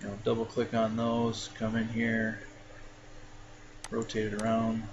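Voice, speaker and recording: A man casually talking through a software tutorial step by step, with frequent fillers. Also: on the low side, unhurried. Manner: relaxed, talks through each step with fillers. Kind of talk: casual screen-recorded tutorial. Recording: dull lo-fi mic with clear background noise.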